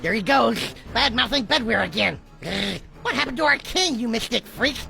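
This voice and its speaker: A man voicing a grumbly, suspicious little cartoon dragon sidekick with a blunt manner and creaky voice. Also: very high voice, animated, normal speed, bright, forceful tone, heavily acted. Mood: mocking, irritated. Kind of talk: animated cartoon voice acting. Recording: music behind the voice.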